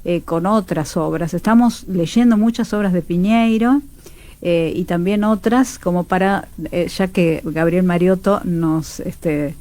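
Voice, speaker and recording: A woman from Argentina talks as a guest in a radio interview. Her voice is warm and relaxed, set a little low, with a Rioplatense accent. She explains her work in radio drama in a conversational way.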